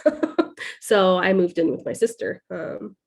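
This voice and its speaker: A woman from California speaks candidly as a podcast guest. Her talk is halting and loose, packed with "like" and "um," and she laughs often as she goes. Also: fairly low pitch, sing-song, vocal fry. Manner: hesitant, full of "like" and "um", laughing often. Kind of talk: candid casual interview talk.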